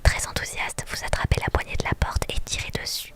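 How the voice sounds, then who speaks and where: A young woman in a soft, breathy whisper, reading a story game aloud and chatting casually with live viewers in an enthusiastic, playful ASMR manner. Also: a little fast. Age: young adult.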